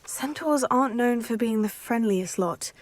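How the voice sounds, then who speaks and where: A woman's voice: on the high side, lively intonation. Composed young witch heroine. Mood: curious, measured. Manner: measured, polite. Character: composed, sympathetic teenage student witch heroine. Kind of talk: video game cutscene acting.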